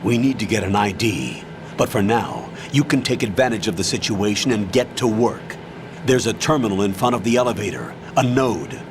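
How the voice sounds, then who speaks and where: A man playing a commanding older army colonel in a video game, giving measured, authoritative mission briefings. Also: lowish voice, noisy background, natural voice. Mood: businesslike.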